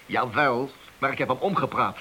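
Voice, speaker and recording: A man playing a contrite, defensive police subordinate with a subdued, husky voice in a Dutch radio drama. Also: medium pitch, normal speed, sharp tone, rough recording. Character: contrite police subordinate owning up to his inspector.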